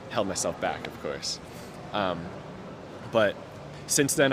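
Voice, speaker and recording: A young man who stutters, telling his personal story in an earnest, open way, with frequent repeated sounds, broken-off words and many fillers. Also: fairly low pitch. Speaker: interviewee. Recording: noticeable outdoor background noise.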